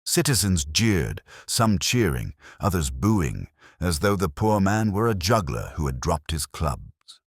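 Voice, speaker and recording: A man's voice: low voice, big pitch swings, a little slow, hardly any pauses, breathy edge, no room sound, studio quality. A man reading a scripted detective story as its narrator. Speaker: audiobook narrator.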